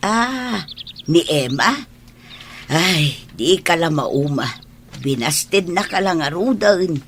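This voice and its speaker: A woman's voice: lowish voice, slow, slight background noise. Elderly fussing mother, swooping voice. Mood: teasing, advising. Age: older. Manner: coaxing, scolding, expressive. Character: fussing, candid elderly mother of a grown son. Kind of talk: radio drama acting.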